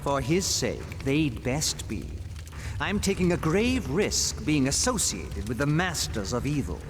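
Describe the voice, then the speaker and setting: An actor playing an arrogant trickster god villain in a video game, haughty, sneering and florid. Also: male voice, medium pitch, very animated, measured pace, in a large hall, faint music behind. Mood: mocking. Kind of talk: video game cutscene acting.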